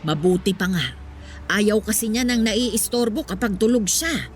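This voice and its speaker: A woman acts as an elderly, humble wife in a Filipino radio drama. She is candid and reflective, looking back on her life and handing out motherly advice. Music plays softly underneath. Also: mid-range voice, expressive, moderate pace, natural voice. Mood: casual. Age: older. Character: elderly poor wife reminiscing on love and regrets.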